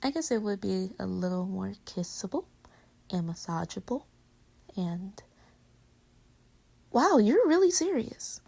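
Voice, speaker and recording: A woman's voice: medium pitch, sing-song, a little slow, creaky, pauses often, a little mellow, heavily acted. A woman playing a playful, affectionate girlfriend in a casual, intimate pillow-talk roleplay, teasing her partner. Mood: playful, curious. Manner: casual, teasing, conversational with giggles. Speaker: audio roleplay voice actor.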